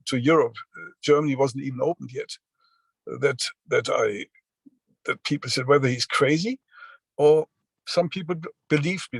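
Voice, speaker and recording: A man in his sixties giving a motivational business talk over a video call, halting often with fillers and restarts. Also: mid-range voice, no echo, poor audio. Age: 60s. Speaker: seminar speaker.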